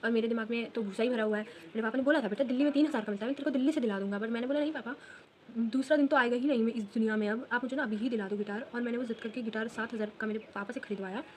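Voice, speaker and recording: Fast-chatting teenage girl, noisy background. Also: a little high. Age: late teens. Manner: chatty, fast, mixes English phrases into Hindi. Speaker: vlogger. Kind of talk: casual storytelling while drawing.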